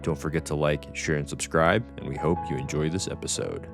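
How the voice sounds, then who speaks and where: Very deep male announcer over guitar music. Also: steady tone, a little fast, creaky. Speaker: podcast announcer. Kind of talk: scripted intro narration.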